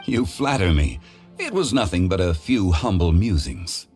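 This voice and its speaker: Deep-voiced, refined scholarly gentleman. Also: very animated, faint music behind. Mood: modest, gracious. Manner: eloquent, formal, unhurried phrasing. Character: refined, scholarly gentleman consultant, calm and modest. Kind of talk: video game voice acting.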